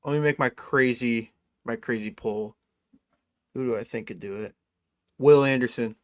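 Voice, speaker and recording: Young male co-host, relaxed sports banter, dry recording. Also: mid-range voice, normal speed, no room sound. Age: 20s. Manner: laid-back, says "dude" a lot. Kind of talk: casual sports chat.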